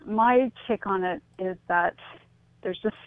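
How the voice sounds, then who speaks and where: A woman's voice: medium pitch, slow pace, dry recording. Tentative woman on a tinny phone line. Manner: tentative, searching for words. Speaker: interview guest. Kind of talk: thoughtful conversational interview.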